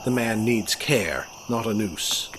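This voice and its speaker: An earnest, deep-voiced young heir, Sir Henry. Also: male voice, animated, takes it slowly, noisy background. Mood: weary, compassionate. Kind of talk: audio drama acting.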